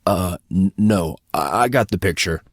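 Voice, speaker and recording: A man's voice: sits low, a little slow, no room sound, clean studio sound. A man voicing a laid-back, teasing teenage boy with slangy, hesitant speech in an audiobook drama. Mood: sheepish.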